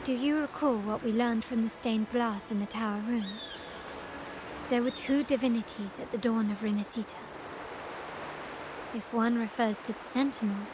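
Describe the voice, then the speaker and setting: An actress playing a poised, hospitable yet menacing woman of a powerful family in a video game, speaking formally and deliberately. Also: a little high, noisy background, lightly acted. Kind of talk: video game story voice acting. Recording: music playing behind the voice. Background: game music.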